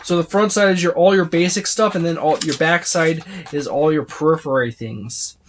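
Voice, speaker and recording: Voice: mid-range voice, fairly even intonation, moderate pace, a little hiss or hum. A person giving a casual, unscripted overview of a game rulebook, rambling and repeating points for emphasis as they itemize. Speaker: tabletop game book reviewer.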